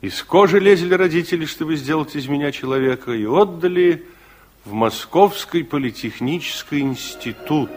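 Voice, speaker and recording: An actor playing an elderly, earnest war veteran reminiscing in a theatrical, declamatory 1960s radio-play style with deliberate pauses. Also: male voice, a little high, natural voice. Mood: bitter, reminiscing. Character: elderly reflective war-veteran grandfather looking back on his life. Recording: 1960s radio drama recording with music.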